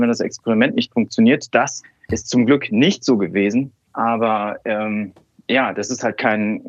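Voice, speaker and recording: A man's voice: medium pitch, no room sound, lo-fi sound. A man from Cologne, a scientist, telling stories in an interview with many fillers and restarts. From Cologne, Germany. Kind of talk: relaxed conversational interview.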